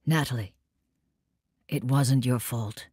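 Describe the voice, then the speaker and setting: An actress playing a grave, measured woman who reads letters and announces chapters in an audio drama. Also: extremely low pitch, darkish tone, slightly rough, dry recording, clean studio sound, full character voice. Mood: consoling. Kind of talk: scripted audio drama acting.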